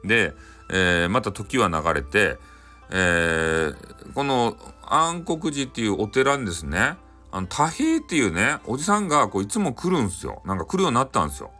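Animated low-voiced Hakata man telling folktales. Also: moderate pace, faint music behind. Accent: Hakata, Japan. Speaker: storyteller. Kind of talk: informal bedtime folktale storytelling.

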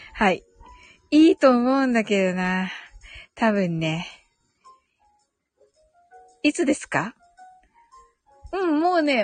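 A woman hosting a casual live audio chat, reading listener comments aloud and responding with polite, agreeable backchannels. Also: medium pitch. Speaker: live audio stream host. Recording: background music behind voice. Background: music.

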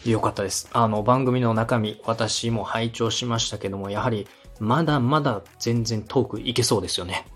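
A male podcast host with a slightly husky, mid-pitched voice and a polite manner. He interviews and gives encouraging feedback in a structured way, with music playing behind him. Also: moderate pace, few pauses. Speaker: podcast host and radio director.

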